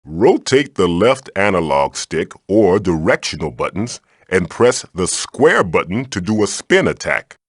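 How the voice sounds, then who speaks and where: A man's voice: sits low, sing-song, unhurried, hardly any pauses, slightly rough, no room sound, clean studio sound, full character voice. A man voicing a magical floating tiki mask in a video game, a fatherly guide who is theatrical, encouraging and wry. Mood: instructive. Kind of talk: video game character voice lines, tutorials and quips.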